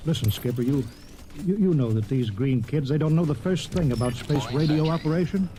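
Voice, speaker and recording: An American actor playing an eager, pleading veteran radio man with a folksy, halting manner in a vintage radio drama. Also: on the high side, mellow, lightly acted. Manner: folksy, halting, repeats words. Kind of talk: old-time radio drama acting. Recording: vintage radio recording, noisy with gunfire.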